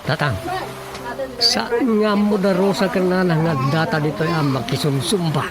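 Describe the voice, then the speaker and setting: A man's voice: a little high, normal speed, loud music behind the voice, close to an everyday voice. A man playing an eager, comic young fellow in an Ilocano radio drama, with an Ilocano accent. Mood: casual.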